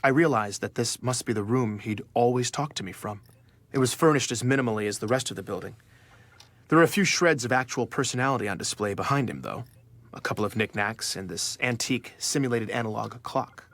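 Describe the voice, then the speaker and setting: An actor playing a nervous investigative journalist in a scripted first-person audio drama. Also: male voice, mid-range voice, fairly bright tone, no echo, close to an everyday voice. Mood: measured, uneasy. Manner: measured narration, hesitant in dialogue. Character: nervous male investigative journalist narrating his own story. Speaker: narrator.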